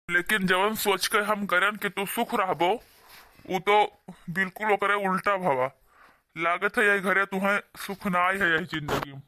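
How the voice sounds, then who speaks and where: Cartoon village brother , acted by a man: Awadhi accent, high-pitched, extremely sharp, scolding and protective, very dry sound. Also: no echo. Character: protective, indignant younger brother from a poor village. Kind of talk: cartoon dialogue.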